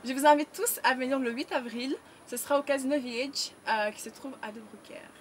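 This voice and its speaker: An eighteen-year-old woman introduces herself as a student and pageant contestant. She speaks earnestly in short, deliberate phrases, her voice fairly high. Steady background noise runs behind her. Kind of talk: spoken self-introduction.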